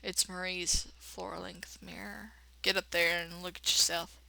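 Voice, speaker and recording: A woman's voice: lowish voice, level tone, unhurried, creaky, bright, forceful tone, dry recording, low-quality recording. A woman reading a game dramatically and adding casual, slangy, irreverent commentary as a let's play host.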